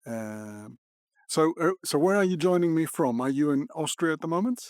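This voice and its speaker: A man's voice: on the high side, lively intonation, normal speed, darkish tone, no echo, studio quality. A man, hosting a podcast interview and speaking hesitantly with many fillers. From Greece. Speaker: podcast host. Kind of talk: conversational interview.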